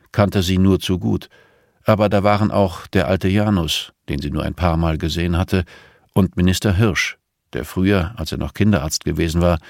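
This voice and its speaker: A man with a low voice narrates a thriller audiobook from a script. The sound is very dry, with no room around it. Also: gravelly, a little mellow, dry recording.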